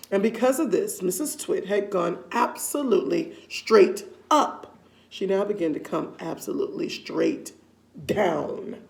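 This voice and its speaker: Female read-aloud storyteller: medium pitch, noticeable vocal fry, expressive and deliberate delivery for children. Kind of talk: expressive children's story reading with casual asides.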